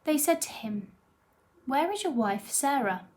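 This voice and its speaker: A woman with a fairly high-pitched voice reads scripture aloud. She delivers it as a scripted narrative reading, in the role of a church reader. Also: very animated, unhurried, darkish tone.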